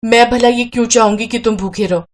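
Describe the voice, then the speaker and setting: A woman's voice: fairly high pitch, steady tone, fairly quick, natural voice. A woman acting a scolding, stubborn wife. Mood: irritated. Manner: sharp complaining. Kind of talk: Hindi moral story acting.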